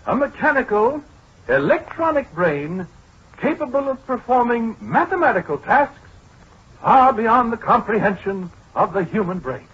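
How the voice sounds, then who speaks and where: An actor playing a proud, pedantic scientist in a 1940s radio drama, lecturing precisely with small hesitant interjections. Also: male voice, extremely high pitch, big pitch swings, measured pace, fairly bright tone, slightly rough, heavily acted. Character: proud, pedantic male scientist showing off a giant computer. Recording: dull, muffled 1940s radio broadcast.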